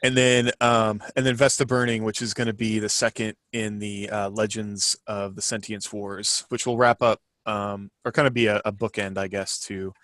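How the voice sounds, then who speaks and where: A man's voice: fairly low pitch, little pitch movement, moderate pace, few pauses, fairly bright tone, no room sound. A man co-hosting a podcast, running through announcements in a casual, chatty way. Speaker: podcast co-host.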